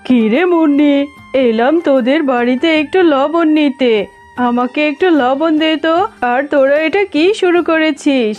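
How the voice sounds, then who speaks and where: A woman's voice: sits high, normal speed, a little mellow, lo-fi sound, soft background music, natural voice. A woman voicing a brusque, mocking tigress aunt in a cartoon. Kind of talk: cartoon dialogue.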